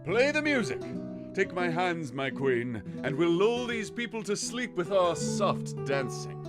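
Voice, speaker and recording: An actor playing a commanding fairy king in a modernized Shakespeare audio drama, with theatrical, expressive delivery. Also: sits high, unhurried. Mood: elated, romantic. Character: commanding fairy king, scornful yet relenting. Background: music.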